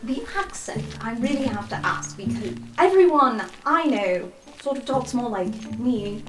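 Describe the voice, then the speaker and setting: A woman's voice: fairly high pitch, animated, measured pace. A woman voicing an earnest, inquisitive young tiefling student who asks probing questions in an informal, hesitant way. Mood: curious, teasing. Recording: roomy sound with music behind. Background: music.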